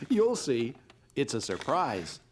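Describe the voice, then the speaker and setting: A man playing a kind, patient father in a children's cartoon, with a gentle storytelling manner. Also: medium pitch, huge pitch swings, slow pace. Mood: cheerful, patient. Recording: music behind the voice.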